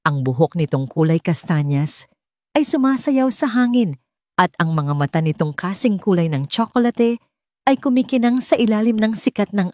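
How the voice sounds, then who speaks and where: A woman's voice: medium pitch, dark tone, no room sound, studio quality. A woman with a Filipino accent reading a scripted dramatic story as an audiobook narrator.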